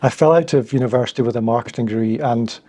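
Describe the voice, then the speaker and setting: A middle-aged man from Scotland with a Scottish accent, answering interview questions in a relaxed way and often repeating words as he thinks. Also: mid-range voice, fast, husky, no echo. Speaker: guest, agency founder.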